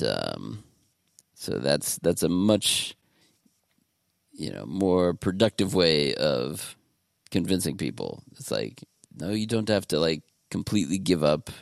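A man's voice: rumbling low, vocal fry, no echo, clean studio sound. A man hosting a podcast in casual chat, talking in a laid-back, meandering way. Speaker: podcast host.

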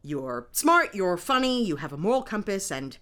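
Brash, animated female police sergeant. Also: mid-range voice, moderate pace. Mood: warm, persuasive. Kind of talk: audio drama acting.

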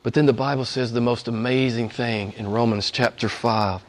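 A man preaching a sermon with fervor, hoarse from a cold and losing his voice, dropping to a hush and rising to shouts. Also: mid-range voice, fairly even intonation, moderate pace. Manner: fervent, swings from hushed to shouting. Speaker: pastor.

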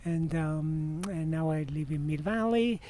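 Argentine-accented man in his sixties, animated. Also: fairly high pitch, unhurried, a little hiss or hum. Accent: Argentine Spanish. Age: 60s. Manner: animated storyteller, trailing and restarting phrases. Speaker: interview guest. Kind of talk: casual outdoor interview. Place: outdoors on benches by a marina.

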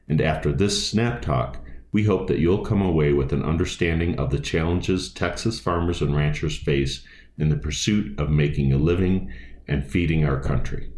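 A man reading a formal, scripted podcast introduction in a measured announcer delivery. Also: a bass voice, darkish tone, roomy sound. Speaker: podcast host.

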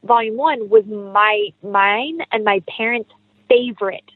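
A woman's voice: fairly high pitch, measured pace, no echo. A woman speaking as an interview guest, chatting casually about her creative work in an enthusiastic, effusive way. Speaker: interview guest, actress and writer. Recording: thin phone-like sound.

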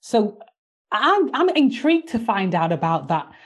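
A woman hosts a podcast interview and puts relaxed, encouraging questions to her guest. Her voice has a husky, slightly breathy edge at a middle pitch. She keeps the talk flowing with soft affirming murmurs. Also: measured pace. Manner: warm encouraging questions, frequent backchannel. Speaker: podcast host and psychotherapist. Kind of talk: conversational interview hosting.

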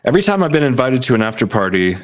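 A man's voice: medium pitch, sing-song, very fast. A man hosting a casual chat, rambling and joking with lots of swearing. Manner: rambling, profane, jokey.

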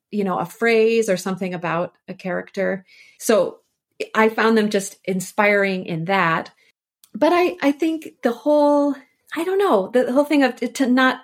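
A woman scholar speaking as a podcast guest, explaining ideas thoughtfully and conversationally, often repeating words as she works out a thought. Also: medium pitch.

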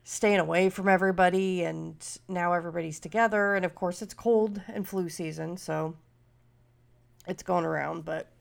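A woman's voice: lowish voice, fairly even intonation, moderate pace, gravelly. A middle-aged woman hosting a podcast, talking casually, nasal and stuffy from a cold, rambling with many fillers. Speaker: podcast host.

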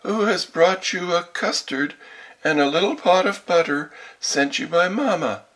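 A man voicing a sly, hungry storybook wolf who softens his voice to coax and deceive. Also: high voice, animated, voice pushed up, full character voice, low-quality recording. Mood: falsely sweet. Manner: coaxing, drawn-out, put-on softened voice. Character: sly hungry male wolf posing as sweet grandmother.

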